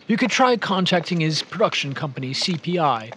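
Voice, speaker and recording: High-voiced, formal footman. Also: big pitch swings, a little fast, bright, forceful tone, slight background noise. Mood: brisk, formal. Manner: formal, courteous, evasive. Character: polite, guarded male footman at a wealthy house. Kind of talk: scripted audio drama dialogue.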